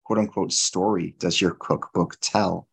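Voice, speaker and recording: A man hosting an interview podcast in a formal, deliberate, scripted and very courteous manner. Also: deep voice, fairly even intonation, gravelly, breathy edge, no echo. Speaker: podcast host.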